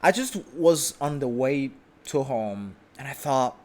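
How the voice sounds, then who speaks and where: A man's voice: medium pitch, animated, takes it slowly, a little mellow, a little hiss or hum. A man sitting on his sofa at home, delivering an unedited vlog monologue in a brash, self-assured way, rambling with restarts and the odd swear word. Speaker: vlog host.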